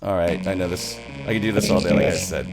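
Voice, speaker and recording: Low-pitched man thinking aloud in a tutorial. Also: fairly even intonation, quick pace, loud music behind the voice. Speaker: music production tutorial host. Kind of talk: casual screen-recorded software tutorial. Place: small home studio room, indoors.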